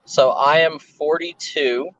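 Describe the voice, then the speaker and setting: A man's voice: a little high, fairly even intonation, takes it slowly, a bit bright, no echo, highs cut off. A man in his forties, a dealer guest telling rambling stories in a casual interview. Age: 40s. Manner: rambling, anecdotal storyteller. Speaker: guest, antiques and ephemera dealer.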